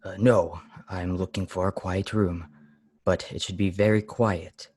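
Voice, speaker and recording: Man acting a low-voiced, severe, weary lodger. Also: steady tone, darkish tone, husky, no echo. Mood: brooding, reserved. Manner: deliberate, solemn, brusque. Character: strange, weary, severe pious lodger, brooding and easily angered. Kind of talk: old-time radio play acting.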